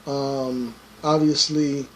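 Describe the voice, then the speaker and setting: A man's voice: medium pitch, flat delivery, extremely slow, a little hiss or hum, low-quality recording. A young man co-hosting a podcast, chatting casually with many fillers and treading carefully as he reacts to a video. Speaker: podcast co-host.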